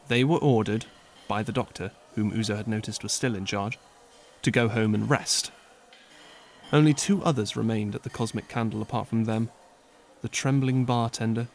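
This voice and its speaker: A man narrating an audiobook in a measured, lightly wry storytelling manner. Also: fairly low pitch, a little hiss or hum. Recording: faint music behind.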